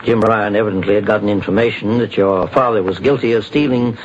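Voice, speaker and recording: An actor playing a measured, authoritative private investigator in a 1940s-style radio drama. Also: male voice, fairly low pitch, fairly even intonation, muffled recording, natural voice. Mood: calm. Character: calm, shrewd male private investigator. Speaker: detective. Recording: hissy old radio broadcast.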